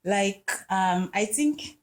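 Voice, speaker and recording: A woman's voice: mid-range voice, expressive, extremely slow. A woman speaking in a casual podcast discussion, thoughtful and hesitant, with many "um"s.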